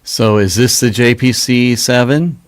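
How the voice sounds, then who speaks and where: A man's voice: mid-range voice, a little slow, smooth, no room sound. A man hosting a livestream and chatting casually as he interviews a guest. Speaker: livestream host. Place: live video stream.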